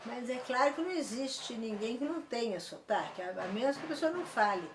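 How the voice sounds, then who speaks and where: A woman's voice: a little high, lively intonation, fairly quick, a crowd in the background. An older woman with a Brazilian Portuguese accent, a retired linguistics professor, explaining emphatically in an interview. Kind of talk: interview answer. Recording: roomy interview sound with faint noise.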